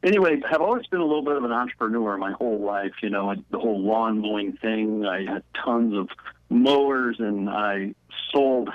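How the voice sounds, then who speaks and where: A man's voice: fairly low pitch, moderate pace, no room sound. A man in his forties from northern Indiana with a Midwestern American accent, telling his story in an easygoing way as a guest calling in. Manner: easygoing storytelling, with ums and uhs. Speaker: guest, business consultant. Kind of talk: phone-in interview. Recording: dull dry phone-line audio.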